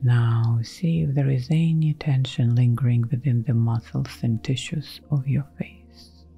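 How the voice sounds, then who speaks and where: A woman leads a guided relaxation at a very slow, unhurried pace. Her voice is mellow and soft, half-whispered with a creaky edge. She gives gentle, soothing instructions and leaves space between phrases, with music playing behind her. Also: very deep voice, steady tone. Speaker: meditation guide. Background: background music.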